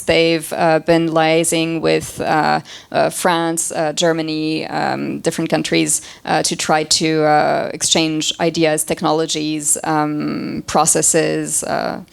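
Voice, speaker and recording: A woman's voice: low-pitched, little pitch movement, measured pace, creaky. A woman answering on a discussion panel, hesitant, with many ums and light laughs. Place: event hall with live audience.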